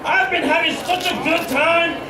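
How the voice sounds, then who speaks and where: A woman hosting a children's show, calling out to the kids in excited exclamations. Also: very high-pitched, normal speed, over music. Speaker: children's church show host. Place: large church hall with a live crowd. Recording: echoey hall, distant mic, noisy crowd. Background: crowd of children and room noise.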